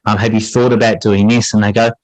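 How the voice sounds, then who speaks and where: A man's voice: fairly low pitch, level tone, fast talker, a little mellow, no room sound, poor audio. A man giving reflective interview answers as a podcast guest, earnest, often hedging and circling back as he explains. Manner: earnest, hedging with repeated "sort of". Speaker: podcast guest, high school English teacher and writer.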